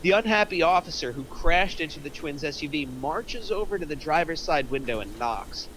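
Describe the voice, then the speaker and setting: A man's voice: fairly high pitch, expressive, moderate pace, a bit bright, a little hiss or hum, low-quality recording. A man reading out screenplay stage directions as a narrator in a scripted table read.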